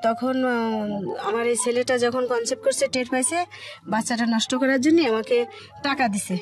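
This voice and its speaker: High-voiced middle-aged Bangladeshi woman. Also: normal speed. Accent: colloquial Bangladeshi. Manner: plain-spoken, colloquial. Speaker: interview guest telling her life story. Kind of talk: personal storytelling in conversation.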